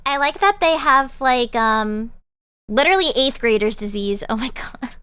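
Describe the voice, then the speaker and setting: A woman's voice: fairly high pitch, lively intonation, normal speed, pushed and bright, clean tone, no room sound. A young woman streamer chatting casually and rambling with lots of fillers while she winds down her stream. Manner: bubbly, rambling with many fillers. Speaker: VTuber streamer. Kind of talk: casual live-stream chat.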